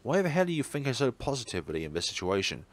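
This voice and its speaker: A man's voice: medium pitch, big pitch swings, fast, no echo, voice pushed up. A man voicing a frightened, bitter teenage schoolboy in a visual novel, agitated and sarcastic. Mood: angry, panicked. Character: distraught, bitter teenage schoolboy lashing out in fear.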